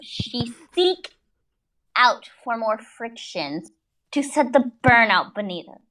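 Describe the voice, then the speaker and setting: A woman reads aloud in a high-set voice with wide, animated swings of pitch. She takes her time over the prose, hamming it up theatrically and dropping in joking asides. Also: slow, rough recording. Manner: theatrical, jokey, breaks off to comment. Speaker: reader of fan fiction. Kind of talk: comic dramatic reading with asides.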